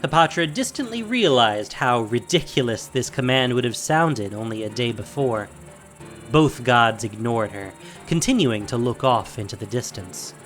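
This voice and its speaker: A man's voice: mid-range voice, animated, moderate pace, a bit bright, soft background music. A man narrating a scripted fantasy audio drama in a dramatic storytelling style.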